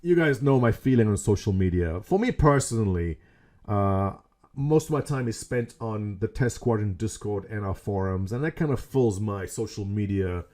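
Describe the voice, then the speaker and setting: Middle-aged South African man, mellow tone, jokey self-mocking webcam vlogger at a desk mic. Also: on the low side. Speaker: gaming community leader. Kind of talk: casual webcam vlog. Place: small home room indoors.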